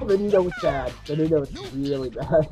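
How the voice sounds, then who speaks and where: A man's voice: a little high, animated, fairly quick, warm tone, soft background music. A man chiming in with short, repeated remarks during casual group commentary on a game. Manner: interjecting, repeats short phrases.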